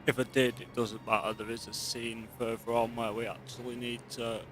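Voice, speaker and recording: A man's voice: medium pitch, flat delivery, moderate pace, fairly bright tone. A man giving casual let's play commentary on a video game. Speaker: gameplay commentator. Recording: game music behind the voice. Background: video game music.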